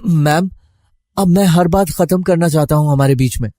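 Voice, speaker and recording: Casting: man voicing timid, dutiful young male student for story dialogue, higher voice, mellow, dry close recording. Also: moderate pace, no room sound, lightly acted. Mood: upset.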